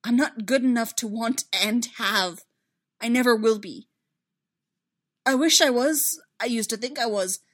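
A woman voicing an anguished, self-doubting young male Jedi in a story, impassioned and halting. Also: mid-range voice, a bit bright. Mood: wretched longing. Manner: impassioned, halting, insistent repetition.

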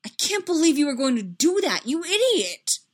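A woman's voice: medium pitch, sing-song, normal speed, close to an everyday voice. A woman voicing a hot-headed young man, an emphatic and loyal friend in a dramatic story reading, given to outbursts and broken repetition. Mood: loud, exasperated.

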